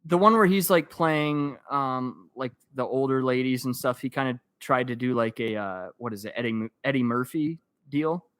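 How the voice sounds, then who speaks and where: A man's voice: medium pitch, moderate pace, hardly any pauses, a bit bright, clear, resonant tone, dry recording, clean studio sound. A man co-hosting a podcast, chatting casually in loose, hesitant phrases. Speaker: podcast co-host. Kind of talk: casual chat.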